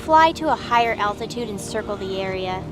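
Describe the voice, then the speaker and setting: A woman's voice: medium pitch, lively intonation, a little fast, noisy background, lightly acted. A woman playing a short-tempered feathered goddess in an audio drama, cold, mocking and curt, giving orders with scorn. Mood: curt, commanding.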